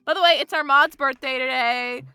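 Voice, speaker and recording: A woman's voice: moderate pace, bright, forceful tone, dry recording. A young woman player chatting casually between game scenes, giggly and rambling, swearing freely. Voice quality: lower speaking voice, mezzo.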